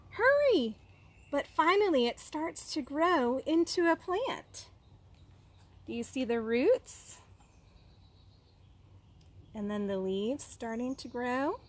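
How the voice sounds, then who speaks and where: Slow-reading woman, higher-pitched storyteller. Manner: expressive, dramatic pauses, questions to young listeners. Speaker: kindergarten teacher reading aloud. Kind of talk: children's picture book read-aloud.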